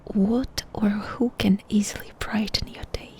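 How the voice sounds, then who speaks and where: A woman doing a soft-spoken ASMR roleplay, asking questions in an intimate, unhurried whisper. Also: medium pitch, fairly even intonation, husky.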